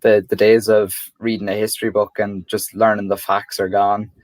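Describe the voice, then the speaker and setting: A man's voice: fairly low pitch, flat delivery, a little mellow, dry recording. A young Irish man co-hosting a podcast, rambling through his points with many restarts and poking fun at himself. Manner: rambling, self-mocking, halting restarts. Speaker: podcast co-host. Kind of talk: casual chat.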